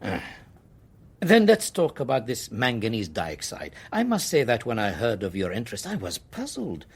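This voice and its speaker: An actor playing a suave, faintly menacing Syrian minister in a radio drama, husky and formally polite. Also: male voice, mid-range voice, wildly swooping pitch, normal speed, exaggerated voice. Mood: suave, pointed.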